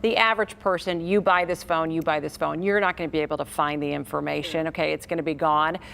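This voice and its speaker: A woman's voice: sits low, steady tone, fast talker, creaky, a bit bright. A woman with an American accent working as a TV consumer reporter, telling her story in a broadcast news style. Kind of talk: scripted news report and studio chat.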